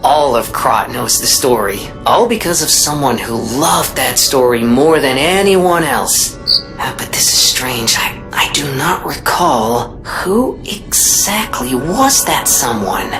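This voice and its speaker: Over clear background music comes a wistful game character, a storyteller with a low female voice. She muses slowly and hesitantly about bygone days, her pitch rising and dipping expressively. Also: expressive, full character voice. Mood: storytelling, musing, then puzzled. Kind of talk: video game cutscene acting.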